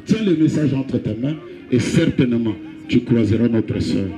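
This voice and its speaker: A middle-aged Congolese pastor preaching a funeral sermon, telling a parable and lifting his pitch on key phrases. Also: animated, normal speed, mellow, roomy sound, faint music behind. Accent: Congolese French.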